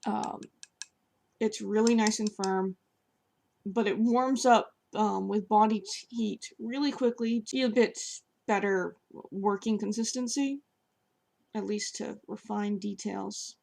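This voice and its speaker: A woman with a hoarse, scratchy voice, chatting casually as she shows how she sculpts at home. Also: mid-range voice, steady tone, slow, darkish tone. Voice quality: gravelly, scratchy. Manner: chatty, with fillers while working with her hands. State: hoarse, voice partly lost. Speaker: sculptor reviewing clay. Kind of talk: casual demonstration. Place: home, at a table by a sofa.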